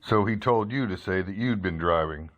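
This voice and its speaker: An actor playing a hard-boiled, world-weary investigative reporter in an old-style radio drama, clipped, wry and matter-of-fact. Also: male voice, deep voice, normal speed, a bit bright, highs cut off. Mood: gentle, firm. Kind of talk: old-time radio detective drama acting and narration.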